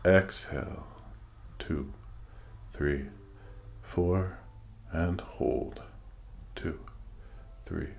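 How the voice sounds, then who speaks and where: A man leading a guided meditation, giving soothing instructions in measured, deliberate phrases with pauses. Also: rumbling low, vocal fry, darkish tone.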